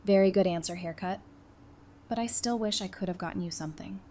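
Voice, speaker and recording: A woman's voice: medium pitch, brisk, mellow, slight background noise, close to an everyday voice. A woman acting in an audio drama as a teasing, affectionate woman in her early thirties, speaking casually.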